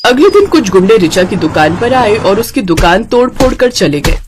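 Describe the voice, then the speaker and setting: A woman's voice: medium pitch, sing-song, normal speed, background noise. A woman narrator reading a scripted story for children.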